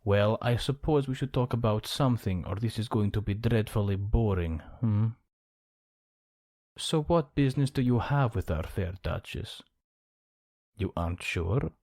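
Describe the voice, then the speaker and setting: A man's voice: on the low side, a little slow, darkish tone, dry recording, clean studio sound. A man playing a suave, gossipy, scheming count in an ASMR roleplay, speaking in a genteel, theatrical manner. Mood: musing, conspiratorial. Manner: genteel, theatrical, arch phrasing.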